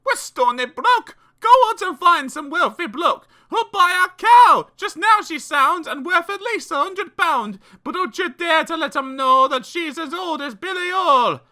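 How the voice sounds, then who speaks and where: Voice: lively intonation, unhurried, few pauses, heavily acted. The narrator voicing a bossy, greedy old mother who shrieks and scolds. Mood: furious. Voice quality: shrill, shouting.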